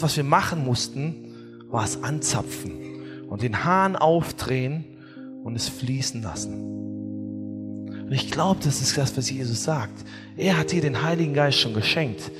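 A man preaching a sermon as pastor, telling stories with humor in a lively way. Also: fairly high pitch. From not from Bavaria or Austria. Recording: echoey hall, faint music.

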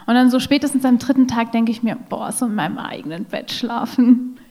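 A woman's voice: on the high side, brisk, warm tone, long reverb tail. A woman speaking casually and personally to an audience at a church service. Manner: chatty and personal.

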